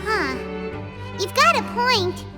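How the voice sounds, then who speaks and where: Little floating girl companion, squeaky-high, bouncy intonation, blunt, chirpy, video game dialogue over loud music. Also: big pitch swings, slow, full character voice. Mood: curious. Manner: exclamatory, refers to self in third person. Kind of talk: video game character acting.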